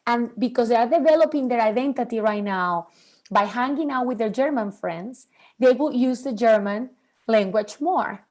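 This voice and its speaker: A woman in her forties from Venezuela whose first language is Spanish, speaking as a guest about raising bilingual children in an earnest, animated way. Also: a little high, moderate pace. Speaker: podcast guest, bilingual parenting expert. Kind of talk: interview conversation.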